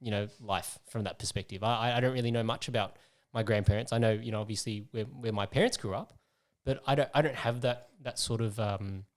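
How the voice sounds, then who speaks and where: A man's voice: lowish voice, fairly quick. Rambling male podcast host. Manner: rambling, repeats words, digresses. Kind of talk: casual interview chat.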